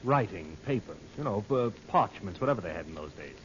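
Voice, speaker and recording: An actor playing a breezy, skeptical tourist, offhand and prying, in an old-time radio adventure serial. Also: male voice, low voice, sing-song, brisk. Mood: amused doubt. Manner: offhand, teasing, probing. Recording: muffled old radio recording, noisy.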